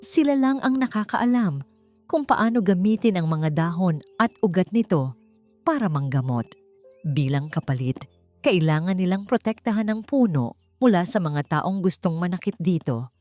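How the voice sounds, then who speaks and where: A woman's voice: fairly low pitch, expressive, normal speed, a little mellow, faint music behind. A woman with a Filipino accent reading a scripted dramatic story as an audiobook narrator.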